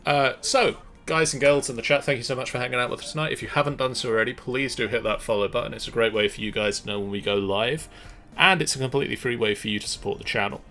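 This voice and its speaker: A middle-aged man hosting a livestream, giving casual announcements with halting pauses. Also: mid-range voice, sharp tone. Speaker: streamer and host. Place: small room at home. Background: faint music.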